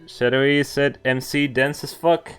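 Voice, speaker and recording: A man's voice: mid-range voice, steady tone, a little slow, fairly bright tone, clean tone, faint music behind. A man streaming a visual novel, reading it aloud with casual commentary and some stumbles, amused asides mixed in. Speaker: game streamer.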